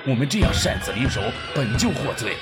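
Man acting fretful soldier, lively pitch, loud music. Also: a little fast, lightly acted. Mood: fretful, pleading. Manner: fretful, imploring. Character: anxious grumbling male soldier pleading with his commander. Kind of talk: Chinese costume audio drama acting.

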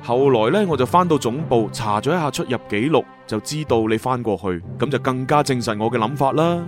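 An actor with a Hong Kong Cantonese accent playing a cocky, boastful young agent from the future in a radio drama. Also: male voice, medium pitch, a little fast, natural voice. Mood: smug, explaining. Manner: animated, boastful storytelling. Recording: music behind the voice. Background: background music.

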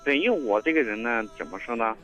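A man calling in to a radio show, speaking earnestly and haltingly, often repeating his words. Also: mid-range voice, expressive, fairly bright tone. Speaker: caller. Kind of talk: phone-in conversation. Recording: muffled phone line with faint music.

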